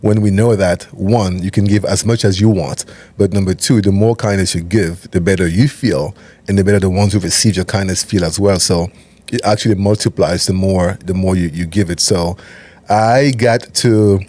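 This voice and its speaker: Calm man, lowish voice. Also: moderate pace. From Cameroon. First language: French. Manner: calm, methodical, explains step by step. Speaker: guest, nonprofit CEO. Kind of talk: interview conversation.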